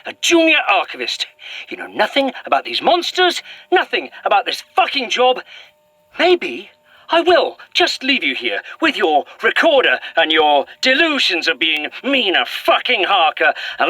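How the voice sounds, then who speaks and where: A snappish man in a horror audio drama. His delivery is forceful and swings widely in pitch, clipped and full of scorn. The sound is close and dry. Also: huge pitch swings, a little slow, no echo, heavily acted. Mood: scornful, cruel. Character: defensive, snappish man with a cruel sharp tongue. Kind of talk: found-footage horror audio drama acting.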